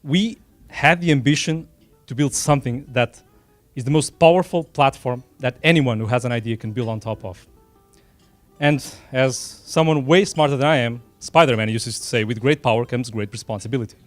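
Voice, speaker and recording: A middle-aged man with a Portuguese accent speaks from the heart at a dinner event, earnest and unscripted, slipping in modest asides. His voice sits at an ordinary pitch for a man. The sound is dry and close, with faint music in the background. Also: moderate pace, no room sound. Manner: earnest, unscripted, frequent self-deprecating asides. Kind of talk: informal speech at a dinner event. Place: small room at a dinner event.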